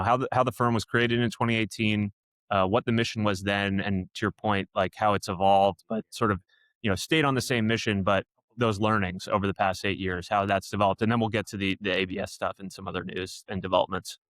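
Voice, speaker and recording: Podcast host guy, lowish voice, loose rambling questions, dry sound. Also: steady tone, brisk, fairly bright tone, dry recording. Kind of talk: podcast interview.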